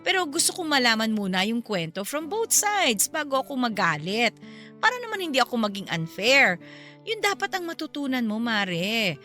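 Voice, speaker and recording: A woman's voice: fairly high pitch, sing-song, moderate pace, pushed and bright, soft background music. A woman playing a frank, feisty middle-aged Filipino mother and forgiving best friend in a radio drama. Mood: frank, advising.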